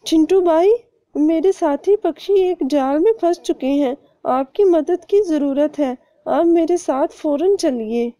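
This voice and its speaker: A woman plays a kind little female bird from a cartoon tale. Her voice is high and very mellow, with an earnest, polite manner. She sounds caring and helpful, in a close dry recording. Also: takes it slowly, no room sound. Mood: urgent.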